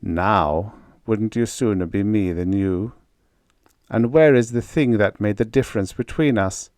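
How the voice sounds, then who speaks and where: A man's voice: lowish voice, a little slow, darkish tone, no echo, clean studio sound, lightly acted. A man voicing an incorruptible agent in an audiobook story, with an intense, dramatic delivery. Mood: cool, taunting. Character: cold incorruptible agent man, newly awakened to feeling.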